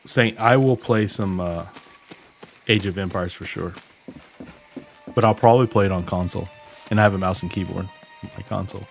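Relaxed low-voiced streamer guy. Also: moderate pace, creaky, long pauses, faint music behind, poor audio. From USA. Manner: relaxed, chatty, talks to chat. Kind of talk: casual gameplay commentary.

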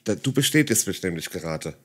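Sarcastic low-voiced male commentator. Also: very animated, fairly quick, fairly bright tone, slight background noise. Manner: sarcastic, condescending. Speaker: satirical commentator. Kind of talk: mocking video commentary.

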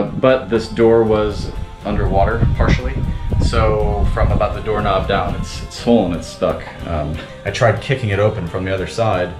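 A man in his thirties vlogging casually from inside a damaged sailboat cabin, swearing freely and filling pauses with ums. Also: low-pitched. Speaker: sailing vlogger. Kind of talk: casual vlog narration. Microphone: handheld action camera close up.

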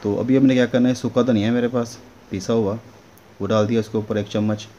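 A man's voice: mid-range voice, flat delivery, a little fast, warm tone. A man giving a casual, instructional recipe voice-over as a home cooking host, often checking in with the listener. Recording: dull lo-fi sound with clear noise.

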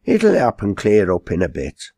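A man's voice: fairly low pitch, wildly swooping pitch, moderate pace, darkish tone, husky, no room sound, studio quality, pitched above the performer's own voice, exaggerated voice. Man voicing a tremulous, timid old pedlar. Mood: timid, weary.

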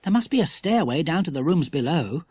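A narrator voicing an excited, bold and bossy schoolboy in a children's adventure story, with eager, exclamatory delivery. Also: medium pitch, a little fast, slightly rough, no echo. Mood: brisk.

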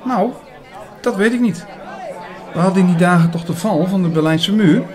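A man playing an earnest older priest who narrates a radio drama in a measured way, with dramatic pauses. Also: sits high, lightly acted. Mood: thoughtful, eager. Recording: busy chatter behind the voice. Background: busy restaurant crowd.

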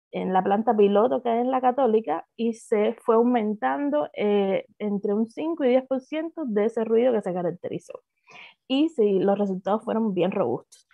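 A woman's voice: mid-range voice, vocal fry, no echo. A woman in her thirties with a Cuban accent, presenting her doctoral thesis in a hesitant academic talk full of fillers and restarts, over a video call. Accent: Cuban Spanish. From Cuba. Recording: dry, phone-like video call audio.

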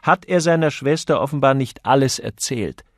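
A man's voice: mid-range voice, expressive, moderate pace, creaky, no room sound, clean studio sound, pitched above the performer's own voice. A man voicing an outspoken upper-class lady in an audiobook, with pointed, teasing disapproval.

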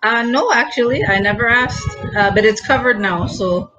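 A woman's voice: fairly high pitch, moderate pace, pushed and bright, dull audio, faint music behind. A woman from Guyana answering interview questions over a video call, hesitant with many fillers and a dry humor. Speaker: guest. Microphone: video call webcam.

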